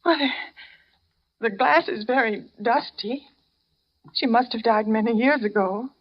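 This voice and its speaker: An actress playing a naive, romantic, nervous bride with a theatrical, hesitant manner in a 1940s radio thriller. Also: female voice, on the high side, a little slow. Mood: frightened. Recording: dull, noisy 1940s radio recording.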